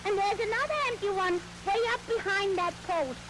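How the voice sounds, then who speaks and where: A woman voicing a stubborn, cheeky little girl in an old radio comedy, with a whiny, deadpan child's way of talking. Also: very high voice, moderate pace. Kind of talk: 1940s radio comedy acting. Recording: crackly old radio recording with loud noise.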